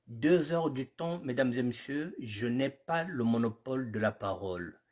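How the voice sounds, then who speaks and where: A husky-voiced man hosts a live commentary show. He has a cold and speaks at a middling pitch. He greets viewers formally, repeats himself and slips into Lingala. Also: lively intonation, normal speed, a little mellow. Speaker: online show host.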